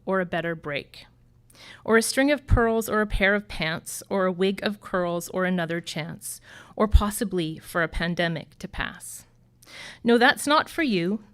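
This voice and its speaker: A woman teacher giving a graduation speech as a rhyming poem, in a sing-song rhythm that slows on key lines. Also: medium pitch, clean tone.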